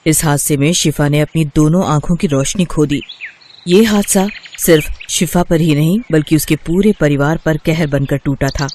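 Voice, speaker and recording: A man's voice: a little high, normal speed, darkish tone, slight background noise. A man narrating a moral bedtime story as scripted storybook narration.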